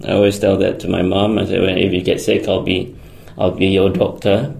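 A man's voice: low-pitched, level tone, a little fast, vocal fry, darkish tone. A man, a guest answering interview questions with many ums, restarts and frequent laughs. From Singapore. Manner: hesitant, many fillers and restarts; laughs often. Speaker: guest, electronics engineer. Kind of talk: conversational radio interview.

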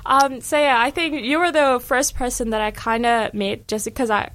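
A woman's voice: a little high, steady tone, moderate pace, a bit bright, dry recording. A young woman hosting a casual community radio show in a studio, talking in a friendly way with many ums and restarts. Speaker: radio host.

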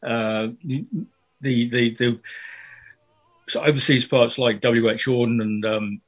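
A man's voice: mid-range voice, flat delivery, slow pace, low-quality recording. An older man from Fiji and New Zealand, a poet answering in a radio interview, talking hesitantly with many fillers and restarts.

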